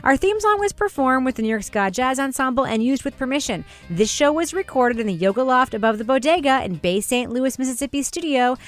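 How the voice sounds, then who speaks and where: A woman's voice: sits high, animated, a little fast, few pauses, soft background music. A woman hosting a casual chat show, teasing her companions and running through announcements in a playful way. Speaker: podcast host.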